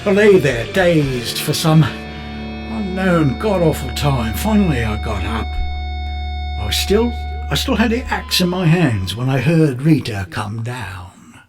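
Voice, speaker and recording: Bitter British confessor over music. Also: male voice, mid-range voice, sing-song, takes it slowly. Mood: agitated, resentful. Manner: rambling, coarse, darkly matter-of-fact. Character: bitter, unravelling British photographer recounting his crime. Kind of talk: dramatic monologue in an audio drama. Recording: music under the voice.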